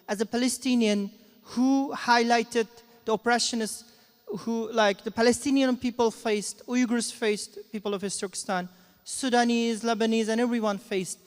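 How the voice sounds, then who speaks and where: A man who speaks English as a second language, moderating a panel informally with halting, repetitive phrasing. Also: very high voice. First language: not English. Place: event hall at a live panel. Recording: echoey hall with long reverb.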